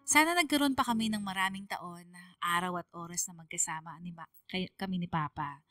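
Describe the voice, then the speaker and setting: A woman's voice: fairly low pitch, no room sound, distant mic. A woman with a Filipino accent narrating a radio drama story in the first person, sorrowful and emotive. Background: soft music.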